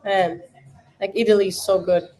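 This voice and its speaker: A woman's voice: mid-range voice, level tone, moderate pace, dark tone, low-quality recording. A young woman with a German accent, hosting a casual livestream watch party, chatting with many fillers and answering viewers' questions.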